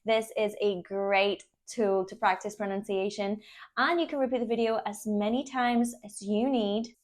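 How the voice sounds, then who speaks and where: A woman with a Spanish accent, a native Spanish speaker, teaching Spanish as a language teacher and pronouncing each word slowly and clearly. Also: mid-range voice, fairly even intonation, no echo. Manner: slow, clearly enunciated word drills. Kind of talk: language lesson. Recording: dull, dry voice-over.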